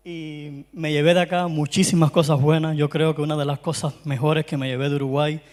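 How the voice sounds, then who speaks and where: A man with a Cuban Spanish accent gives a talk in a large hall where his voice rings with long reverb. His voice sits somewhat high for a man. He talks in a chatty, friendly way, often pausing and restarting his phrases. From Cuba. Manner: warm, chatty, frequent hesitations and restarts. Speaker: conference speaker. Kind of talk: conference talk. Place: conference hall.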